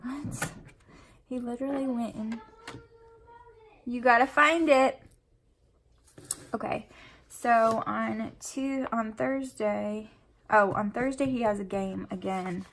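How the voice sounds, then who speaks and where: A woman's voice: on the high side, steady tone, slow pace, long pauses. A sniffly woman with a runny nose, chatting in a rambling way while she decorates her planner at home. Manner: rambling and chatty, full of fillers. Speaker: planner vlogger and mom.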